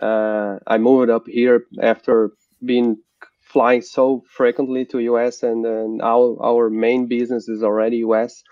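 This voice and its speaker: A man in his forties from Brazil with a Brazilian accent, speaking haltingly as a remote interview guest over a video call. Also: mid-range voice, little pitch movement, no echo. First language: Portuguese. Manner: halting, many "uh" restarts. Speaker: company founder and guest. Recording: dry dull video-call audio.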